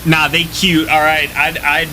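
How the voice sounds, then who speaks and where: A man's voice: fairly high pitch, little pitch movement, a little slow, bright, forceful tone, slight background noise, rough recording. A young man hosting a reaction video with slangy, joking, exclamatory casual commentary.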